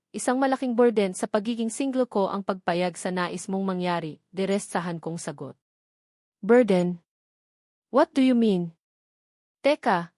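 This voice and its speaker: A Filipino woman reads a romance novel aloud in the first person. Her voice sits at an ordinary pitch for a woman. The sound is dry, with no room around it. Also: darkish tone, no echo. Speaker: audiobook narrator.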